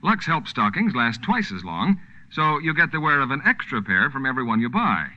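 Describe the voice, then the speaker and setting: A man's voice: mid-range voice, sing-song, brisk. A male announcer delivering scripted advertising on a 1940s radio show. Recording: dull 1940s radio recording.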